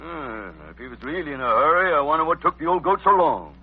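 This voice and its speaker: Radio western role: coyote-raised wild cowboy, high husky Western drawl, blustering, on a muffled old recording. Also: male voice, expressive, quick pace, loud music behind the voice. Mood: swaggering. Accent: American Western drawl. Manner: folksy, blustering, exaggerated cowboy talk. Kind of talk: 1940s American radio western acting.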